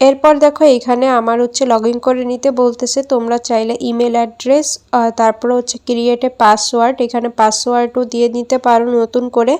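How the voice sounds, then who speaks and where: Female tutorial host: raised pitch, flat delivery, informal step-by-step explaining. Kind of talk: casual step-by-step screen tutorial narration.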